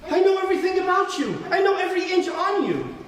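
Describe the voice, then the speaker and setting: An actor playing a devoted but dismissive middle-aged husband, pedantic and emphatic, in a live stage play. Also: male voice, very high-pitched, sing-song, fast, fairly bright tone, heavily acted. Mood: exasperated. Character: devoted, dismissive longtime husband, oddly precise, exasperated. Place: stage of a theater, live performance. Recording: very echoey hall, faint noise.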